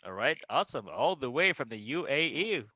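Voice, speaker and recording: A man hosting an online contest with energetic, cheering delivery. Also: mid-range voice, brisk, husky, no echo, lo-fi sound. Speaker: host. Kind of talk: hyped live-stream hosting.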